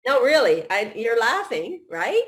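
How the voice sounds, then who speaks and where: Middle-aged woman, host, slightly high voice, loose run-on conversational delivery. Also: very animated, measured pace. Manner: warm-hearted, talks in long run-on thoughts with restarts. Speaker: podcast host. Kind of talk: casual live chat.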